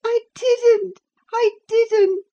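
A woman's voice: very high voice, steady tone, drawn-out words, mellow, dull audio, pitched above the performer's own voice, heavily acted. A woman voicing a distraught, passionate teenage girl in an audiobook, pleading in a breathless, tearful way. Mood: sobbing. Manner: breathless, sobbing, imploring. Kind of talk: audiobook character acting.

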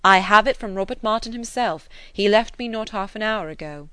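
This is a serious, kindly gentleman from a classic novel audiobook, as a woman narrator reads him. He speaks in a measured, earnest way with gentle teasing underneath. The sound is close and dry. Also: medium pitch, clean tone, no room sound, pitched above the performer's own voice, natural voice. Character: grave, kindly gentleman, older friend. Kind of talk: audiobook character reading.

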